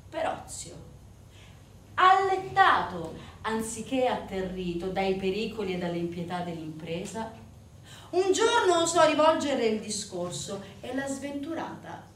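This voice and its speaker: A woman's voice: fairly high pitch, a bit bright. Woman, dramatic theatre narrator, emphatic delivery, distant mic, roomy echo. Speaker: stage actress narrator. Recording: roomy, distant stage recording with faint noise.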